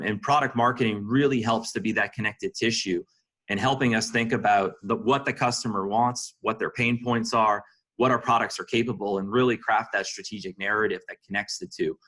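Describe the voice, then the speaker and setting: A man's voice: on the low side, pushed and bright. A man answering interview questions conversationally as a senior executive, peppering his sentences with "you know".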